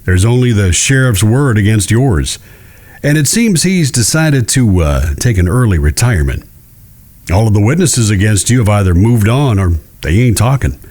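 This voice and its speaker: An actor playing a shrewd, courteous judge who speaks in a measured, genteel way in a western audio drama. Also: male voice, fairly low pitch, sing-song, a little mellow. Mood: calm, assured. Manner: measured, genteel, deliberate with careful pauses. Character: shrewd, courteous Old West male judge.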